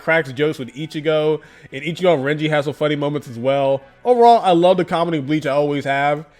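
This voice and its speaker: A man giving a casual, chatty manga review, with lots of fillers and frequent laughs. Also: fairly high pitch. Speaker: anime and manga YouTuber.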